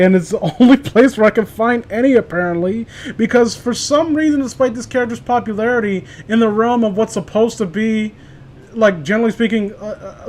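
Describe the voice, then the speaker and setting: A man's voice: sits high, a little mellow, a little hiss or hum. A man chatting casually among friends and reading stories aloud, stumbling over words as he reads. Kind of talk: casual group banter and amateur story reading.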